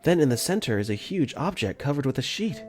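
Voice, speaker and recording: A man narrating his own story in first person, earnest and quick to get flustered. His pitch moves a lot as he tells it. Soft music plays behind him. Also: big pitch swings. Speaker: narrator and lead in fan dub.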